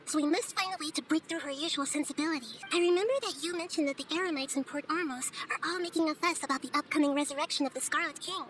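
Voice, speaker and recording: A woman voicing a small, girlish goddess in a game cutscene, light and youthful, explaining things in a calm, thoughtful way. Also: sits high, fast talker, hardly any pauses, slight background noise. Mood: calm scheming. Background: music.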